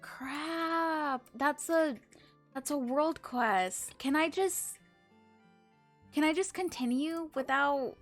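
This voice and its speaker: Woman, high voice, unhurried pace, casual game streamer, thinking aloud, music behind. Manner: reacts aloud, musing to self and viewers. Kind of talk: casual live stream chat.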